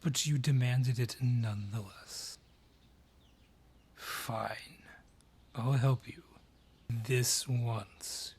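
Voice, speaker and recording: A man playing a haughty, sly dryad who rules a forest, taunting and condescending in a breathy, husky voice, in a fantasy audio roleplay. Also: medium pitch, fairly even intonation, very slow, long pauses, a little mellow. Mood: intrigued, smug. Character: haughty, sly male dryad ruling a forest, menacing and mocking.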